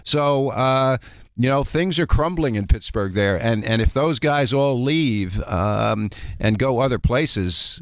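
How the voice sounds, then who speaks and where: A man giving conversational sports analysis as a radio guest, explaining things in a halting way. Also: mid-range voice, expressive, no echo, studio quality. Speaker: guest college basketball analyst. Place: radio studio.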